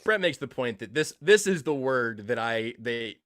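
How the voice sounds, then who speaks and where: Podcast co-host, male, mid-pitched, dry teasing delivery, close dry recording. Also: animated, normal speed, a bit bright, no echo. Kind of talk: casual sports podcast chat.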